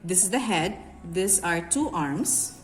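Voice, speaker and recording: Filipino lady doing a chatty how-to, slightly low voice, echoey room w music behind. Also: animated, takes it slowly. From Bacolod, Philippines. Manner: conversational, instructive, addresses viewers directly. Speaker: cake decorating instructor. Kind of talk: informal hands-on craft tutorial.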